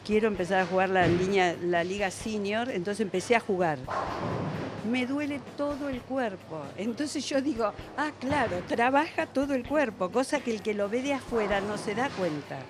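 A woman's voice: medium pitch, normal speed, noisy background. A woman in her seventies with an Argentine accent answers interview questions conversationally, in a reflective, explanatory manner. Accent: Argentine Spanish. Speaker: veteran bowler being interviewed. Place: indoor bowling alley. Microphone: handheld interviewer's microphone. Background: music.

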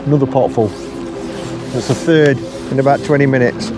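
A middle-aged man from England talking casually to the camera while fishing from a riverbank, thinking aloud in a relaxed, unhurried way. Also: mid-range voice, over music. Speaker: angler filming his own channel. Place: riverbank outdoors on a windy day. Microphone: camera mic placed behind him. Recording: outdoor camera audio with wind noise.

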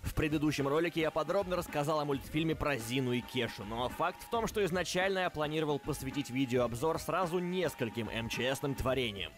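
Fast-talking sarcastic male video reviewer. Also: on the high side, lively intonation, over music. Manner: sarcastic, mock-dramatic. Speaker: narrator of an online cartoon review. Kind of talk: scripted comedic review narration.

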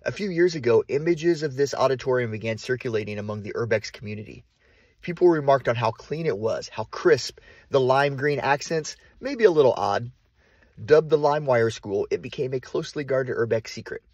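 A man with a husky, slightly breathy voice tells the story of an abandoned school. His delivery is calm and measured, in the manner of a documentary narrator. His voice sits at an ordinary pitch. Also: no room sound, muffled recording. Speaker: urban explorer narrator. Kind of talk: documentary narration with on-site asides.

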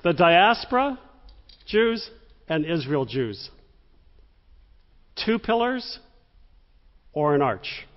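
Middle-aged moderator in an echoey hall. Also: male voice, fairly high pitch, sing-song, slow, pauses often. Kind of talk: moderating a public Q&A. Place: synagogue stage before an audience. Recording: dull echoey hall recording.

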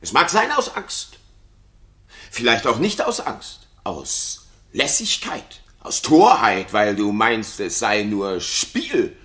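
A bitter, brooding king eaten up by jealousy in a classical radio drama. His voice is fairly low, with a hard, forceful edge, and he weighs his words slowly and theatrically. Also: big pitch swings, exaggerated voice. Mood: accusing. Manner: brooding, theatrical, swinging from muttering to outbursts. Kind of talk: 1960s German radio play, classical stage acting.

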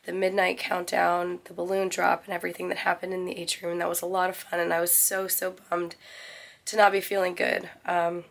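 A woman in her forties, hoarse from losing her voice, rambling casually to a phone held at arm's length in a small cruise ship cabin. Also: completely flat delivery, a little fast. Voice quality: low, gravelly. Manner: rambling, self-correcting. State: hoarse, recovering from lost voice. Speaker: travel vlogger. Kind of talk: casual vlog chat to camera.

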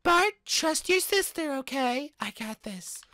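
A woman's voice: high voice, lively intonation, takes it slowly, sharp tone, no echo, studio quality, full character voice. A woman voicing a bossy, confident young girl who keeps encouraging her brother, in a homemade cartoon play.

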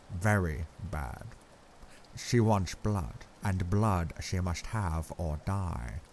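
A man voicing an older, grave and kindly foreign professor who speaks in halting, odd word order. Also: low voice, darkish tone, slight background noise.

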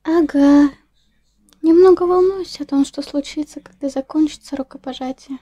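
A woman's voice: high-pitched, normal speed, mellow, natural voice. A woman voicing a nervous, polite young lady in an amateur comic dub.

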